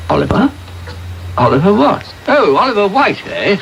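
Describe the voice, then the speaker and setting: An elderly, avuncular gentleman in a radio drama. He blusters and fusses in a raised, widely swinging voice. Static runs through the old broadcast. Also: sits high, very animated, normal speed, vocal fry, full character voice, rough recording. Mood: flustered. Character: genial, excitable elderly English gentleman. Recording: hissy medium-wave radio tape.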